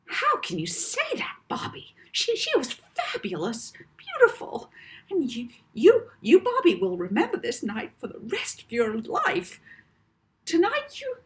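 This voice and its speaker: A woman's voice: sits high, wildly swooping pitch, slow, voice pushed up, heavily acted. Woman putting on a swooping, gushing starstruck mother. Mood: gushing, awestruck. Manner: breathless, emphatic, stammering repetitions. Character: gushing, starstruck American mother, theatrical and adoring. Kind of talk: storybook character voice.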